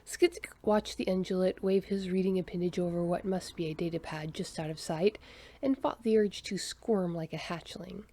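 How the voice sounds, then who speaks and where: A woman's voice: on the low side, fairly even intonation, moderate pace, mellow. A woman narrating a science fiction story as the author-reader. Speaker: audiobook narrator.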